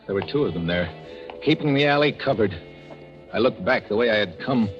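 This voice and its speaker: A man's voice: fairly low pitch, expressive, fairly quick, natural voice. An actor playing a hunted, wry American tough guy on the run, talking in clipped slang in 1940s radio drama style. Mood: tense narration. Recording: dull old radio recording with music.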